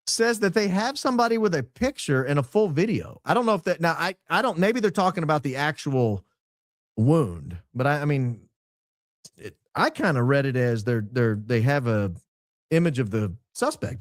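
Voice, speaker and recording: Male commentator, higher-pitched and very lively, questioning, repetitive, casual, dry close sound. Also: no room sound. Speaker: host. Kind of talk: casual commentary on audio clips.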